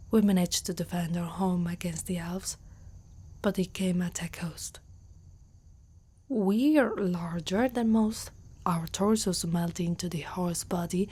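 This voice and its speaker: A hushed, breathy, low-toned female centaur warrior, acted by a woman from Italy. She is an older, battle-worn guardian, protective and haunted by loss. The delivery is gentle, slow and earnest, with a soft mellow tone suited to whispered ASMR roleplay.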